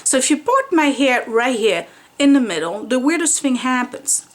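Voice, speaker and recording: A woman in her forties talks to her camera at home by a window, chatty and candid. Her voice sits a bit high, and she rambles a little with fillers as she explains things. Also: very animated, normal speed. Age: 40s. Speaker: vlogger. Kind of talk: casual home hair-care vlog.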